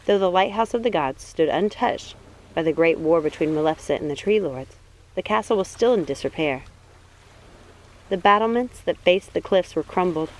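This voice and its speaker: A woman reading a fantasy novel aloud as an audiobook narrator. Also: fairly low pitch. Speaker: audiobook reader. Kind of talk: scripted fiction narration.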